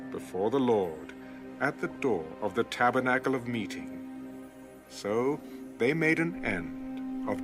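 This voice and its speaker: A man reading Bible scripture as a scripted audiobook narration, measured and deliberate. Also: medium pitch.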